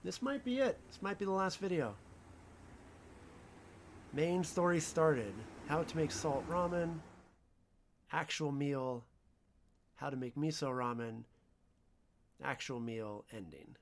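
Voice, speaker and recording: A man's voice: a little high, takes it slowly, pauses often, a little hiss or hum. A man, a ramen critic, casually reacting to videos on a long livestream with offhand running commentary.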